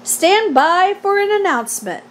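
A woman voicing a pompous, formal loudspeaker announcer at a fair, in a storybook read aloud. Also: very high voice, very animated, unhurried, voice pushed up, heavily acted. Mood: pompous, proud. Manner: pompous, formal, grandly declamatory.

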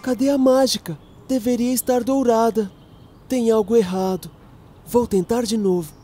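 Cartoon boy locomotive, Brazilian, friendly and eager, played by a woman; highish voice, clear music bed behind. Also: steady tone, normal speed, dark tone, lightly acted. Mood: disappointed. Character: cheerful, helpful young boy steam engine. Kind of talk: children's cartoon dubbing.

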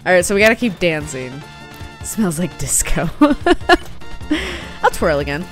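Giggly woman with animated pitch. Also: unhurried. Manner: giggly, excitable. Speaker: gaming youtuber. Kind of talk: casual let's play commentary. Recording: game music faint underneath.

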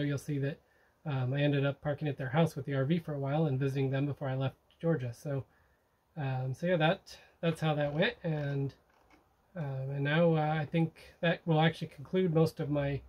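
This is a middle-aged man talking straight to a close camera from inside a small motorhome. He looks back on a trip in an easygoing, rambling way, stopping on fillers and restarting his sentences. His voice sits a little higher than usual for a man. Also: a little mellow, poor audio. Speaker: sailing vlog host. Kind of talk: casual narrated recap to camera.

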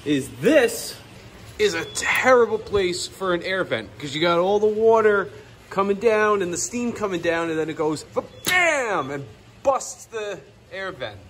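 Animated, higher-pitched man vlogging. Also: a little slow, slight background noise. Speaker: HVAC technician filming his workday. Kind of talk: casual off-the-cuff vlog talk. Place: on the job, often in cramped boiler basements. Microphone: handheld phone at arm's length.

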